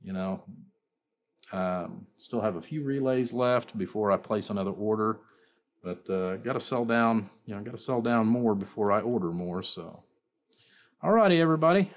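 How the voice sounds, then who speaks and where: A man's voice: on the low side, animated, moderate pace. A man informally presenting a demonstration as a builder and seller, rambling along with frequent repeated filler phrases. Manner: rambling, repeats "you know" and "like I said". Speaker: amplifier builder and seller presenting his work.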